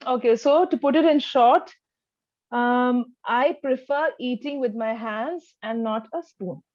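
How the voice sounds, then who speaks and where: High-pitched woman tutoring spoken English. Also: unhurried, no echo, lo-fi sound. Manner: instructive, demonstrates sentences with exaggerated intonation. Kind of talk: one-to-one speaking lesson.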